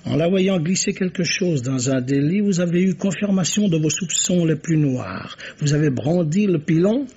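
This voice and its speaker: An actor playing a shrewd, courteous, self-assured police commissioner in a formal, theatrical radio mystery style. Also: male voice, fairly high pitch, expressive, normal speed, hardly any pauses, mellow, husky. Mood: solemn, accusing. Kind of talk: vintage French radio mystery acting. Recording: dull, muffled old radio recording.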